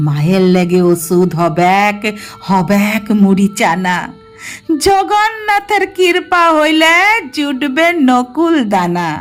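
A woman reciting dramatic poetry as a poor, ill-fated village girl in a rural western Bengal dialect, with a plaintive, emotive, drawn-out delivery. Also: mid-range voice, animated, soft background music, voice pushed down. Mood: hopeful, pleading.

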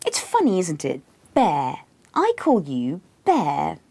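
A woman's voice: low-pitched, huge pitch swings, slow, gravelly, dark tone, slightly rough, no room sound, exaggerated voice. A woman voicing a needy, insistent wife, pleading and indignant, in a comic book reading.

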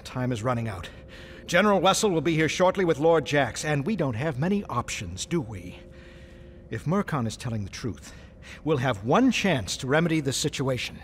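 A man's voice: mid-range voice, sing-song, normal speed, a little hiss or hum, natural voice. A man playing a scheming, irascible military colonel in a space-opera audio drama, with blustering authority. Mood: grim.

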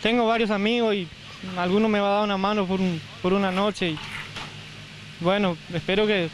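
A young man interviewed outdoors at the site of his burned home, speaking hesitantly with many restarts into a handheld mic. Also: high-pitched. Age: young adult. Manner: hesitant, halting, repeats words. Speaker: homeowner interviewed after fire. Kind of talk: on-the-spot news interview. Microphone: handheld reporter mic. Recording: field audio with outdoor noise.

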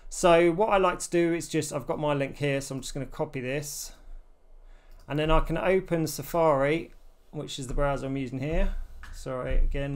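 A man in his forties presenting a casual, step-by-step tech tutorial. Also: on the high side.

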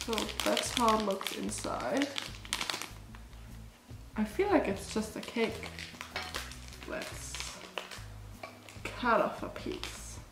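Woman in her twenties, from Germany, stuffed-up nose, chatty rambling, talks slowly, camera in front in a small cottage room. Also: on the high side, expressive, vocal fry, echoey room, music in the background, rough recording. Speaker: food vlogger. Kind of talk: casual vlog, taste-testing snacks. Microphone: camera in front, close framing.

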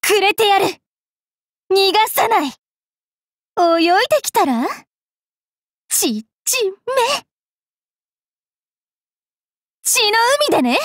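A young female fairy knight from an anime game, with a very high voice and slow, drawn-out phrasing. She is cruel and wilful, haughty and taunting, with a rough tongue. The recording is dry and close. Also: very animated, a bit bright, no room sound, heavily acted. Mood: sadistic, taunting. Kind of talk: anime mobile game voice lines.

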